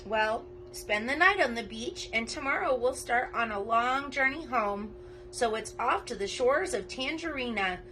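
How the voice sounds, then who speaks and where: A woman voicing a steady, reassuring boy hero in a children's storybook. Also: on the high side, unhurried, slight background noise, low-quality recording. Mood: decisive.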